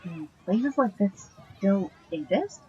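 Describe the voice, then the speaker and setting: A woman playing a sweet, playful young girlfriend from Canada in an audio drama, with a breathy, husky voice and a gently teasing manner. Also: mid-range voice, expressive, slow pace, highs cut off, a faint crowd, slight background noise. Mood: soft curiosity.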